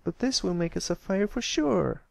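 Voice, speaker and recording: A man's voice: high voice, expressive, normal speed, creaky, darkish tone, no echo, pitched above the performer's own voice, exaggerated voice. A man voicing a timid, earnest little pony colt with a hesitant, apologetic manner in a storybook reading. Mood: determined.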